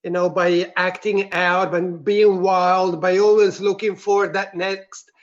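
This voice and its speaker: A man from Switzerland, a guest on an interview, telling his personal story in an earnest, reflective and soft-spoken way. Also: high voice, level tone, slow. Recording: dull, lo-fi sound.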